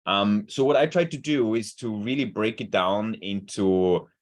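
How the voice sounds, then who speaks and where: A man with an Austrian accent and a German mother tongue speaks over a video call. His voice sits very low, and his talk is earnest, with frequent repeated words as he looks for the right phrasing. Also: unhurried. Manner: earnest, repeats words while searching for them. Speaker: cancer researcher. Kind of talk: webinar talk.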